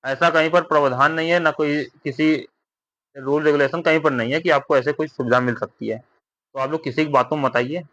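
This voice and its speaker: Bright, forceful man, lo-fi sound. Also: a little high, fairly even intonation, a little fast, dry recording. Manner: repetitive, with frequent tag questions seeking agreement. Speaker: exam update vlogger. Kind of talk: informal explainer addressed to exam candidates.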